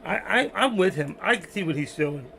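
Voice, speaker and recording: A man hosting a casual trading livestream, rambling to himself and his chat with a hoarse voice. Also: sits high, expressive, normal speed, sharp tone, slight background noise. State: losing his voice. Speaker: stock trading livestream host. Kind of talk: casual live market commentary.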